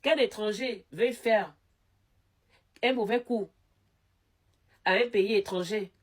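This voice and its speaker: A woman's voice: mid-range voice, slow. A woman with a head cold giving casual news commentary, talking straight to her viewers and repeating words for emphasis.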